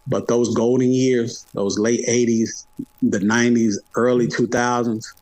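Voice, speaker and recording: A man's voice: mid-range voice, little pitch movement, unhurried, a little mellow, no room sound, rough recording. Middle-aged man, dry close sound. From Atlanta, United States. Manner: conversational, repeats phrases for emphasis. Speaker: podcast guest, hip hop podcaster. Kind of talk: casual interview chat.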